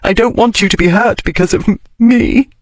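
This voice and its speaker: A man voicing a blunt, logical lady of a bygone era, speaking in crisp, formal phrases for an audiobook. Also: normal speed, close to an everyday voice. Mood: distressed. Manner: crisp, formal period speech rising in pitch. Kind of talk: audiobook character reading.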